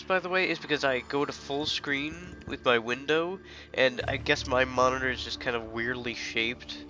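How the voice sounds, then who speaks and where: A young man with a stuffy, congested voice chats casually through a gaming session, his pitch sitting in an ordinary middle range. He rambles on and then bursts out in sudden excitement. Music plays clearly behind him. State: congested from illness. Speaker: gaming channel host. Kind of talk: casual let's play commentary. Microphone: headset.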